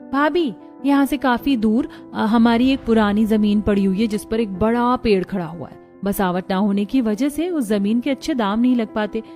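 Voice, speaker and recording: Cartoon young sister-in-law voiced by a woman: friendly, helpful, high voice over soft music. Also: normal speed, close to an everyday voice. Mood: helpful, chatty. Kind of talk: cartoon story dialogue.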